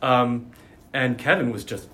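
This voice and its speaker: A man explaining his work as a dialect coach in an interview, articulate and enthusiastic, speeding into quick bursts when he gets excited. His pitch sits mid-range for a man. A faint hum of ambience sits behind him. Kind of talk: conversational interview.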